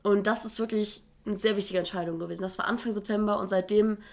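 A woman's voice: medium pitch, fairly even intonation, quick pace, a little mellow. A young woman in her twenties co-hosting a casual chat podcast, slangy and chatty, laughing often, talking while she has a cold. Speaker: podcast co-host.

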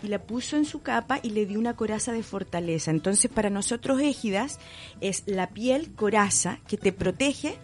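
A Chilean woman talking as a guest in a relaxed radio interview. She explains things eagerly and laughs now and then. Faint music plays under her voice. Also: medium pitch, moderate pace. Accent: Chilean Spanish. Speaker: guest entrepreneur.

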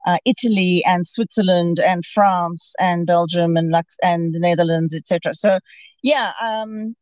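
A woman's voice: fairly low pitch, fairly even intonation, unhurried, a little mellow, dry recording. Hesitant Zimbabwean-born woman guest. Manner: hesitant, many restarts and fillers. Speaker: podcast guest, author. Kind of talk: casual interview conversation. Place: calling in remotely.

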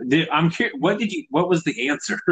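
A man's voice: on the high side, normal speed, fairly bright tone, husky, poor audio. A thirty-year-old man from Tennessee with a Southern US accent, chatting casually as a podcast host, wry and sweary, acting out what people say. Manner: wry, sweary, acts out quoted remarks. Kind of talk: casual comedic chat.

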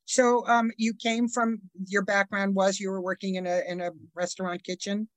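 An interviewer asking a guest questions in a curious way, with quick follow-ups. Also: mid-range voice, flat delivery.

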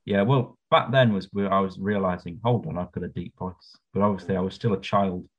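A man's voice: deep voice, a little fast. Easygoing man on a dull mic. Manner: easygoing, tells anecdotes. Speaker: podcast co-host. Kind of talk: casual chat about musicals.

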